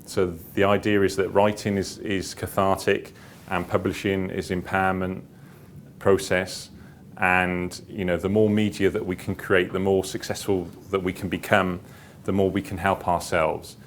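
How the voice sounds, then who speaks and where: A man's voice: level tone, moderate pace. A man giving an informal seminar talk, hesitant and repetitive as he circles back through his phrases. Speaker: seminar speaker, publisher and founder. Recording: clear background noise with low rumble.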